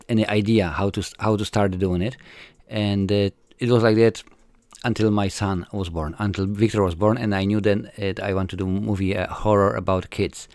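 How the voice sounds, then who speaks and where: Polish filmmaker, 40s, low and smooth, halting answers full of fillers. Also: male voice, a little mellow, no echo. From Poland. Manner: hesitant, many fillers and restarts. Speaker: filmmaker guest. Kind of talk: casual interview answers.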